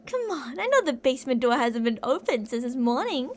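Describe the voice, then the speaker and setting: A woman's voice: high-pitched, sing-song, a little fast, slight background noise. A woman playing an older, obsessive yandere kidnapper in an ASMR roleplay, with a theatrical, teasing sing-song manner, sweet-talking and menacing. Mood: coaxing menace.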